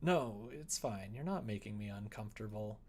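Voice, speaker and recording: A man's voice: lowish voice, animated, normal speed, darkish tone, breathy edge. A man voicing a polite, apologetic visual novel protagonist who manages idols, mild and earnest. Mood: gentle.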